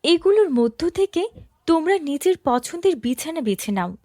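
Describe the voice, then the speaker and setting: A woman's voice: very high voice, very animated, moderate pace, mellow, dry recording, clean studio sound. A woman voicing a strong-willed young princess in a cartoon story, speaking firmly and earnestly.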